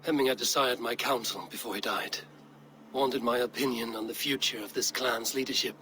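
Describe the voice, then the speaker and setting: An actor playing a steady, commanding male Viking clan leader in a game cutscene. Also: medium pitch, fairly quick, background noise, natural voice. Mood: resolute. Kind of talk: video game cutscene acting.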